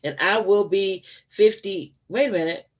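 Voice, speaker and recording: A woman's voice: medium pitch, a little slow, lo-fi sound. A woman in her fifties hosting a casual livestream from home, chatty and given to laughing asides to her viewers. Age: 50s. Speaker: channel host.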